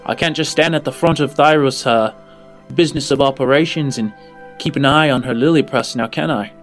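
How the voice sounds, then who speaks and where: A man's voice: medium pitch, a little fast, soft background music, lightly acted. A man voicing a smug, amoral information slicer in a tabletop game, genteel and condescending in manner. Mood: unapologetic. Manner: genteel, condescending, calls listener dear and darling.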